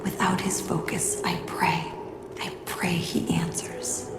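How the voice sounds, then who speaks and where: A woman playing a fervent, reverent cult priestess in a video game, theatrical, with a creaky, breathy voice. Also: fairly low pitch, level tone, takes it slowly, reverberant. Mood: hushed, reverent. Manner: reverent, theatrical, breathy whispers rising to incantatory cries. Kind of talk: dramatic video game acting.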